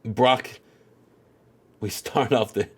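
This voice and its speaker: A man's voice: mid-range voice, fairly even intonation, drawn-out words, breathy edge. A man with a stuffed-up nose giving casual, rambling commentary on a wrestling game, full of filler phrases. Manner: rambling, heavy on "you know" and "at the end of the day".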